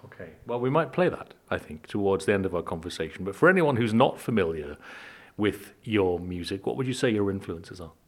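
A man's voice: sits low, animated, a little fast, slightly rough. A man hosting a radio interview, speaking as a presenter to a guest. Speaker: radio host.

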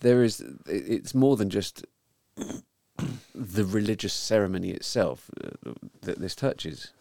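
A man hosting a weekly news talk show, speaking in a measured way with frequent ums. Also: lowish voice, animated, vocal fry, warm tone, no echo. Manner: measured, hesitant with many ums. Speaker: podcast host. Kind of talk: casual news discussion.

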